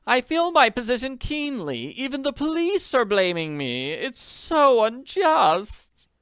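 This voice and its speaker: A woman voicing an agitated, elegant middle-aged woman in a storybook, pleading in a breathless, drawn-out way. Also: a little high, big pitch swings, fairly bright tone, clean tone, no echo, studio quality, pitched above the performer's own voice. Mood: distressed. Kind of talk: audiobook character voice.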